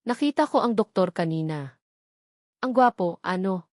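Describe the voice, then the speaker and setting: A woman's voice: mid-range voice, animated, normal speed, no room sound, natural voice. A motherly older neighbour woman, performed by a female narrator in a romance audio story. She is caring and concerned, and the sound is dry. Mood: gently probing. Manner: caring, worried.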